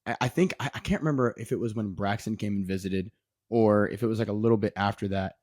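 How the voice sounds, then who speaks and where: A man's voice: on the low side, quick pace, darkish tone, no room sound. A man in his twenties from Maryland with an American accent, a podcast guest and minister chatting casually with frequent restarts. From Maryland, USA. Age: 20s. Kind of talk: casual interview chat.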